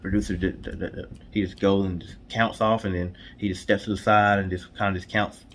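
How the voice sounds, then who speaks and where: A man's voice: deep voice, flat delivery, a little fast, a little hiss or hum. A man co-hosting a storytime show in casual couple chat, colloquial, often repeating himself and saying "you know".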